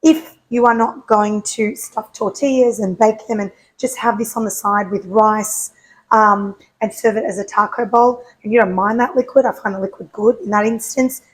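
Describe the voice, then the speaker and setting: A woman with a croaky voice hosting a home cooking show, chatting casually and answering her own questions as she cooks. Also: medium pitch, poor audio. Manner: chatty, asks herself questions and answers them.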